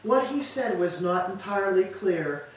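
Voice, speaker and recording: A woman's voice: mid-range voice, lively intonation, measured pace, close to an everyday voice. A woman performs an old queen of fallen Troy, a stoic, grieving mother in a Greek tragedy. The delivery is formal and declamatory, heavy with lament. It comes from a very reverberant hall, picked up at a distance with faint noise behind. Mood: anguished. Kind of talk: staged reading of Greek tragedy. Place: church, staged reading.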